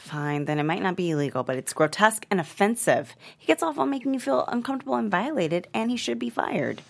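A woman's voice: mid-range voice, lively intonation, a little fast, creaky. A woman playing an idealistic, outspoken college-age daughter in a scripted table read. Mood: indignant.

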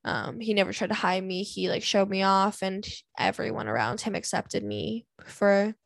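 Young woman with vocal fry, rambling. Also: medium pitch, moderate pace, dry recording. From Canada. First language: English. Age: 20s. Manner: candid and rambling, lots of "like" and "um". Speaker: vlogger answering viewer questions. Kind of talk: casual chat.

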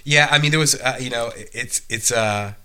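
A man speaks in a very bright, forceful tone. He chats casually and thoughtfully about working with bands in the studio. He often stops to restart his sentences. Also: medium pitch, normal speed. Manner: thoughtful, hesitant, many restarts and fillers. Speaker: music producer. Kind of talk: casual conversation.

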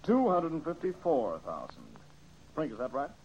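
An actor playing a brisk young amateur detective and host in an old-time radio mystery, methodical and politely exasperated. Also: male voice, a little high, huge pitch swings, darkish tone. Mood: probing. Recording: dull, hissy old radio recording.

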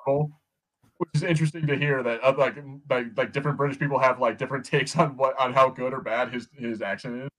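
Fast-talking American man. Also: medium pitch, steady tone, fairly bright tone, rough recording. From United States. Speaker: podcast co-host. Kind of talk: casual film and TV review chat.

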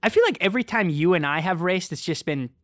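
Young man, slightly higher voice, self-mocking. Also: quick pace, no echo, clean studio sound. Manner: animated, self-mocking, lots of "like". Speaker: co-host, runner. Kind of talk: casual chat.

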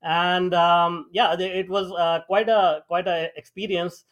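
A man's voice: high-pitched, little pitch movement, unhurried, a bit bright, muffled recording. A middle-aged man from India with an Indian accent, a guest telling his life story in a podcast interview, with many fillers and restarts. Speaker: guest, AI founder.

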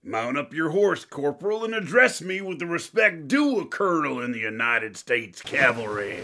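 An actor playing a pompous, short-tempered cavalry colonel in a comic radio play, with drawn-out outbursts. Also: male voice, on the high side, sing-song, bright, forceful tone, full character voice. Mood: pompous, irate.

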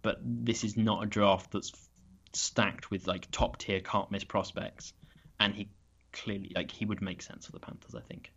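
A British man with a lowish voice talks through his opinions in a casual podcast. He often stumbles and repeats words as he goes. The audio sounds dull and lo-fi. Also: fairly even intonation, normal speed, a bit bright, slightly rough. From Britain. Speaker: podcast guest, football analyst.

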